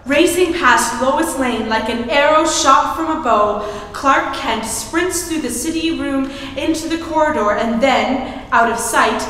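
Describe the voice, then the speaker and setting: A woman's voice: high voice, fairly bright tone. A woman playing the dramatic narrator of an old-time radio adventure serial. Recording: echoey hall with faint noise.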